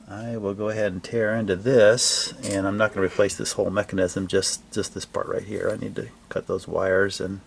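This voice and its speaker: A man casually narrating a hands-on repair demonstration in a halting, unscripted way, recorded in a home workshop on a camera mic. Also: on the low side, vocal fry, a little hiss or hum. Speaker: hobbyist repairer presenting his own video.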